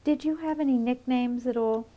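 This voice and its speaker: A woman interviewer asks questions in a calm, methodical way. Her voice sits in a middle pitch with a warm, mellow tone. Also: moderate pace. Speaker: oral history interviewer.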